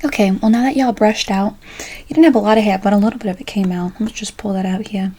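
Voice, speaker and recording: A soft-spoken woman doing an ASMR roleplay as a folksy, chatty late-night barber, often slipping into a whisper. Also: medium pitch, steady tone, fast talker. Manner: folksy, chatty, calls listener pet names. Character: chatty, tired late-night female barber, sweet and sassy.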